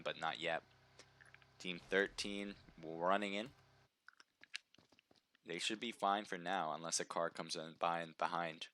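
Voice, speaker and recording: A man giving chatty play-by-play commentary on a live mobile game match, his voice slightly hoarse from a sore throat. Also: deep voice, pushed and bright, slight background noise. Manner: chatty, upbeat, reads viewer names aloud.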